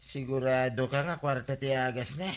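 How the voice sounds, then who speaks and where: A man with an Ilocano accent playing a sickly, complaining village husband in a radio comedy drama, plaintive and drawn-out. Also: mid-range voice, fairly even intonation, close to an everyday voice. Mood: plaintive, puzzled.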